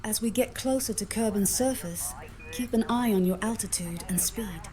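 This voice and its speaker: A synthetic woman's voice, an AI copilot giving measured, composed advice in tidy full sentences. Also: mid-range voice, warm tone. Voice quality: synthetic text-to-speech voice. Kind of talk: measured instructional guidance.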